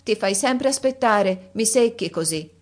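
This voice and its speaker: A woman voicing a fussy, imperious elderly countess in a read-aloud story, speaking in a shrill, scolding manner. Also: lively intonation, unhurried. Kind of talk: audiobook character voice.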